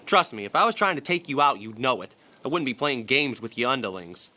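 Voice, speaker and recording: A man's voice: mid-range voice, lively intonation, fairly quick, sharp tone. A man playing a cocky, sardonic, taunting gang boss in an audio drama. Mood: defiant. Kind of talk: theatrical period murder-mystery acting.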